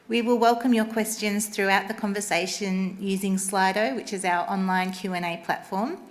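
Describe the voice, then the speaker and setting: Brisk Australian woman hosting an event. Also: mid-range voice. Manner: brisk, clear announcer-style housekeeping. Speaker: event host, gallery head of learning. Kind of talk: formal event introduction. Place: theatre stage at a gallery event.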